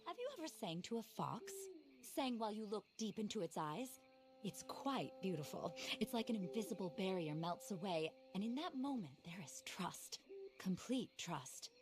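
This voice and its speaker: A woman's voice: medium pitch, very animated, moderate pace. An actress playing an eccentric, easily flustered lady naturalist in a video game, speaking in a genteel, fervent, theatrical way. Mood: dreamy. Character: earnest, flustered, eccentric lady naturalist who adores animals. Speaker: character in a video game cutscene. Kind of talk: dramatic game voice acting.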